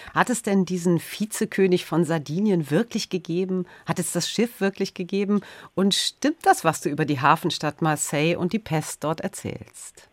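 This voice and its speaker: A woman's voice: on the low side, dry recording. A woman speaking as a radio host, presenting and asking prepared questions in an interview style.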